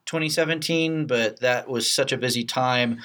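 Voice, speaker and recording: A middle-aged American man with a medium-pitched voice co-hosts a casual podcast. He tells his stories in a loose, rambling flow with an animated delivery. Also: normal speed. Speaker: podcast co-host. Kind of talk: casual chat. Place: podcast studio.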